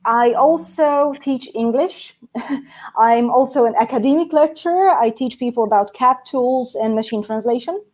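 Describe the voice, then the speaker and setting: A woman's voice: fairly high pitch, mellow. A young adult woman from Poland, a native Polish speaker, chatting as a guest on a live stream with frequent laughs and fillers, speaking over a video call from an office. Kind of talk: casual live-stream chat. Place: translation agency office.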